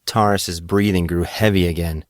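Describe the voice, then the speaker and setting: A man reading scripted fiction as narrator, in a measured, dramatic storytelling manner. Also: low-pitched, fairly even intonation, no echo, studio quality.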